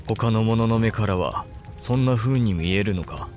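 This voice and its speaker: An actor playing a restless, ambitious samurai lord in a Japanese period audio drama, speaking in grand archaic language over music. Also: male voice, on the low side, expressive, moderate pace, a little mellow, natural voice. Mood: brooding. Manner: brooding, then grandly fervent archaic speech.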